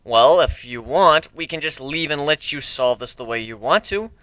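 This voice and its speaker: A man's voice: on the high side, animated, moderate pace, bright, forceful tone, no echo. A man playing a confident, commanding male pirate crew leader in a fantasy story reading, assertive and reasoning.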